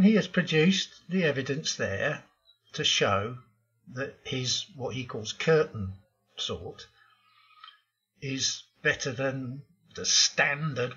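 An older man talks through his slides with blunt opinions and plenty of ums. His voice is bright and edgy but very husky. The voice-over sounds muffled and lo-fi. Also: mid-range voice. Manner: opinionated, frank, with frequent ums. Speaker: programming tutorial host. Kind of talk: narrated slide lesson.

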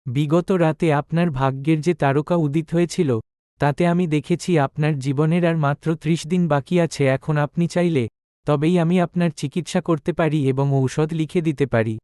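A man voicing a shrewd, courteous village physician in an animated moral tale, speaking formally and with measured deference. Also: a little high, no room sound, studio quality, close to an everyday voice. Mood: grave, deferential.

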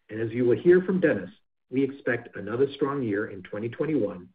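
A man, a company executive, reads prepared remarks at a steady, scripted pace on a results conference call. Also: medium pitch. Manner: steady scripted corporate reading. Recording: phone-line audio of a conference call.

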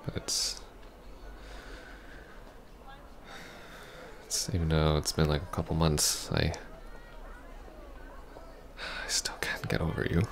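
Very deep, halting heartbroken ex-boyfriend acted by a man. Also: completely flat delivery, vocal fry, whispered, exaggerated voice. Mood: tentative, sad. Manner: halting, stammering, soft-spoken. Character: heartbroken young ex-boyfriend, hesitant and apologetic. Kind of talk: intimate ASMR roleplay acting.

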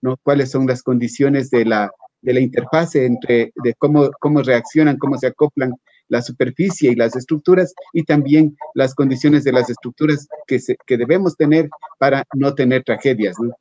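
A middle-aged man explaining as an academic panelist on a video call, haltingly, repeating words and adding questioning tags. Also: medium pitch, warm tone, no room sound. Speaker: scientist, workshop panelist. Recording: slightly dull video-call audio.

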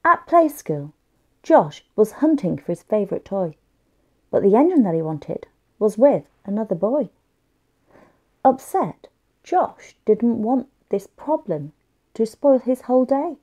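A woman's voice: a little high, sing-song, unhurried, mellow, dull audio. A woman reading a picture book aloud expressively and carefully for young children. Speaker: children's story reader.

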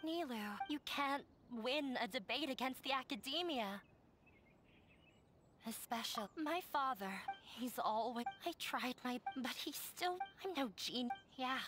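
A woman playing a downcast, self-doubting young female scholar in an anime-style video game, halting and subdued. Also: sits high, animated, fairly bright tone. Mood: dejected. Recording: music behind the voice.

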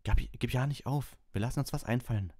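A man's voice: mid-range voice, fast talker, a little mellow, voice pushed up, lightly acted. A man voicing a loyal, blunt, worried schoolboy in a storybook, plain-spoken and concerned. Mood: grim.

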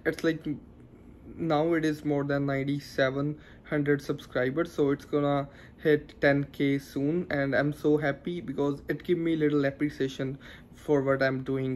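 A man's voice: mid-range voice, a little mellow, slight background noise. A young man with a Punjabi accent, chatting earnestly to camera in a casual vlog, with many filler sounds, recorded indoors on a small handheld mic. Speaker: vlogger. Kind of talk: casual talking-head vlog. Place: living room in daylight. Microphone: handheld lapel mic close to mouth.

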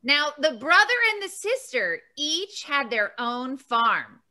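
A woman's voice: high-pitched, sing-song, slow, pushed and bright, full character voice. A woman voicing a kind, bubbly sister dinosaur puppet, telling a children's story in a playful, exaggerated way. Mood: storytelling. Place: room at home. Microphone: video call, computer mic.

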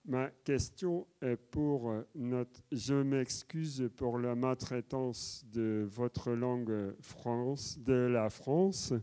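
A man's voice: medium pitch, fairly even intonation, very slow, hardly any pauses, warm tone, clear, resonant tone. Hesitant older Dutch man with a handheld mic. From Netherlands. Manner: hesitant, many fillers, switches languages. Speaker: audience member asking a question. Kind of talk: halting public question in a debate. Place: large conference hall. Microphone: handheld microphone.

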